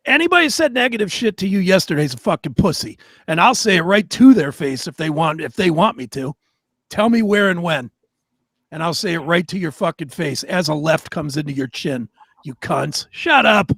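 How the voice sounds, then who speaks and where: Male talk co-host, very high voice, blunt, opinionated, casual swearing, dry close sound. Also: expressive, moderate pace, fairly bright tone, dry recording. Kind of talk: casual, profane talk show banter.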